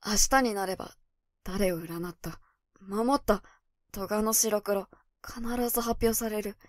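An actress playing a calm, calculating young female werewolf in a voice drama, speaking matter-of-factly. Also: mid-range voice, unhurried, dry recording, clean studio sound, natural voice. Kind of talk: Japanese voice drama acting.

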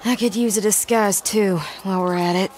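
A self-assured frontier woman from a Western game, voiced by a woman. She is arch and dryly playful at a medium pitch, with noisy livestock ambience around her. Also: fairly even intonation, fairly quick, natural voice. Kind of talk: video game cutscene acting. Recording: farm animal ambience behind.

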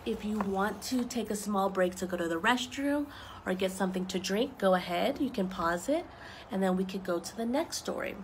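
A young woman reading picture books aloud to children, expressive and explaining things simply as she goes. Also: mid-range voice, normal speed, slight background noise, poor audio. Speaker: story time reader for children. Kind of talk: children's picture book read-aloud with asides. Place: outdoors on a wooden deck among trees.

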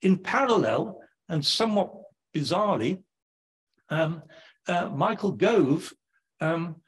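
An older man with an English accent speaks at a lectern microphone in a lecture hall. His voice sits fairly high and swings widely in pitch as he talks. The tone is a little husky, and the delivery is chatty and animated. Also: slow. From England, Sheffield. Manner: animated, chatty, frequent "right?" tags. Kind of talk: public lecture.

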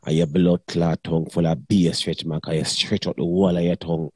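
A middle-aged man with a very deep, dark, husky voice talks bluntly and crudely in patois. The sound is dry and close. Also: little pitch movement, a little fast, no room sound. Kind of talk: casual chat.

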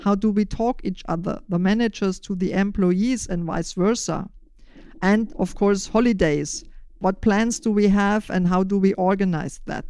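An older woman explaining a podcast in measured, deliberate, prepared phrases. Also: mid-range voice, mellow, smooth, no echo, studio quality. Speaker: podcast host.

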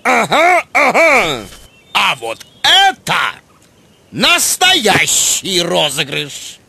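A man's voice: high voice, huge pitch swings, very slow, creaky, pushed and bright, slight background noise, exaggerated voice. A man voicing a genteel, jovial old male raven in a cartoon, grandiloquent and playful. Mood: gleeful. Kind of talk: animated cartoon acting. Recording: music behind the voice.

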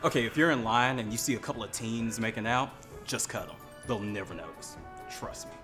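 An actor playing a wry male fairgoer in a scripted comedy sketch, with deadpan asides. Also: medium pitch, brisk, over music, natural voice.

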